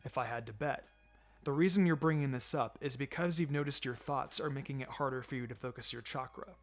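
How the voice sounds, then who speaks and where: Man voicing a low, quiet, laid-back ninja mentor. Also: a little fast, faint music behind, lightly acted. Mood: gentle advice. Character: laid-back adult male ninja mentor, wry and gently sombre. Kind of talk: fan fiction audiobook character acting.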